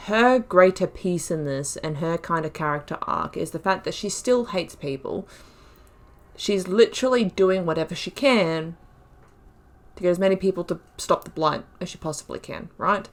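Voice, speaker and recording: A woman's voice: on the low side, moderate pace. Chatty woman analysing a game. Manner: chatty, thinks aloud, laughs often. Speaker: gaming YouTuber. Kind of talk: casual commentary on a game playthrough.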